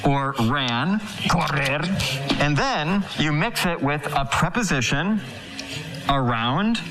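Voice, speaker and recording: An American man hosting a TV talk show, upbeat and explaining English slowly like a teacher. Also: fairly high pitch, lively intonation, over music.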